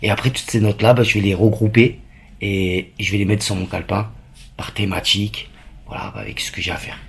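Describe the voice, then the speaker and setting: Low-voiced man chatting to camera. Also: steady tone, brisk, gravelly, fairly bright tone. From France. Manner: earnest, informal, repeats phrases for emphasis. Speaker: vlogger. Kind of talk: casual vlog chat to camera.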